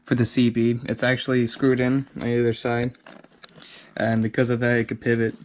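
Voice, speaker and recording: A man's voice: mid-range voice, completely flat delivery, normal speed. Man thinking aloud, noisy recording. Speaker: DIY video host. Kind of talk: casual how-to narration while working. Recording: clear background noise with handling clicks.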